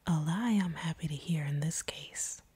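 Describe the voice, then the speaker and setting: A woman's voice: low-pitched, normal speed, darkish tone, husky, no echo. A woman voicing a seductive, sadistic vampire in intimate roleplay audio, whispering breathily in a teasing, commanding way. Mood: coolly threatening. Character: seductive, sadistic vampire woman taunting her captive hunter.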